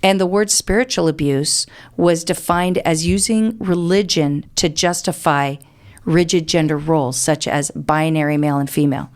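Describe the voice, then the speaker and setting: A woman's voice: low-pitched, normal speed. A middle-aged American woman answering as an interview guest, explaining things earnestly in a conversational way.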